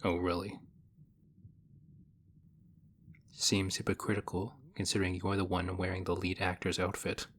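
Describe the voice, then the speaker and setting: A man playing a stoic young nerd, reserved and quietly affectionate, speaking in deadpan, measured phrases in an intimate audio roleplay. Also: sits low, slight background noise. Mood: dry, analytical.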